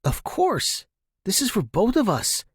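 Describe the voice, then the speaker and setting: Secretive survivor played by a male reader, raised pitch, insistent and persuasive, grim audio fiction. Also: wildly swooping pitch, a little slow, breathy edge, no room sound, clean studio sound, exaggerated voice. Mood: insistent, fervent.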